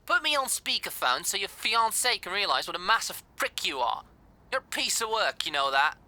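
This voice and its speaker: A woman's voice: mid-range voice, big pitch swings, moderate pace, pushed and bright, dry recording. A woman playing a brash, foul-mouthed, grudgingly fond businesswoman in an audio drama phone call, blunt and sardonic. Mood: exasperated. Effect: speakerphone.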